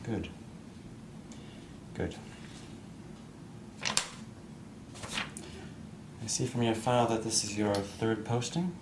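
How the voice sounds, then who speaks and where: An actor playing a furtive doctor in an audio drama, speaking in a clinical, coaxing, hushed manner. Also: male voice, on the low side, fairly even intonation, a little slow, noisy background, close to an everyday voice. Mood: clinical, brisk. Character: furtive, insinuating doctor offering illicit help. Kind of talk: understated audio drama acting.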